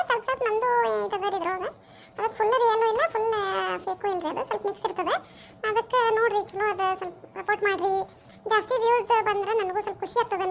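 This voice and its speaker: A woman's voice: extremely high pitch, fairly bright tone. A woman with a North Karnataka accent, informally addressing viewers as the creator and host of a cartoon story. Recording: faintly noisy home recording.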